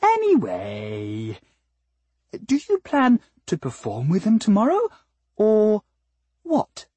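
A woman voicing a female alien rose bush in an audiobook, with a breathy, sweet voice and a polite, wheedling, nervous manner. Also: medium pitch, huge pitch swings, slow, warm tone, no echo, voice pushed up, exaggerated voice. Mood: sweetly wheedling.

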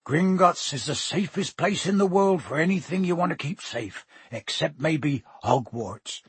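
A big, friendly giant of a gamekeeper, acted out by a male storybook reader, speaks with a very husky, gruff edge. His talk is folksy and colloquial, hearty and proud, and it is recorded close and dry. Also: a little high, lively intonation, measured pace, no room sound, voice pushed up, heavily acted. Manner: folksy, colloquial, dropped words.

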